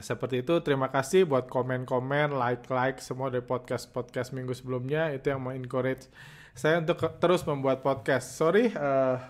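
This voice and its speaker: Brief: hoarse male podcast host, sick with a cold, halting market talk, mid-range voice. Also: mid-range voice, fairly even intonation. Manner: halting, many filler sounds and restarts. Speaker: stock trading podcast host. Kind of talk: casual market commentary.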